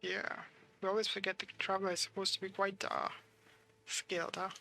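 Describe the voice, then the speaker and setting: A man giving casual live game commentary as a streamer, thinking aloud with hesitant pauses. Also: high voice, monotone, creaky, pushed and bright, faint music behind.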